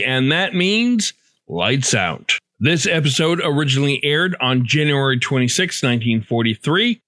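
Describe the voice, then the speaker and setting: A man's voice: medium pitch, fairly bright tone, no room sound. A man hosting a podcast, reading a scripted introduction close to the microphone. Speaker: podcast host.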